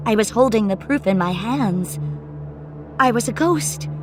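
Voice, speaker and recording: A woman's voice: a little high, lively intonation, unhurried. A woman reading a first-person ghost story as scripted audiobook narration. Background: music score under the voice.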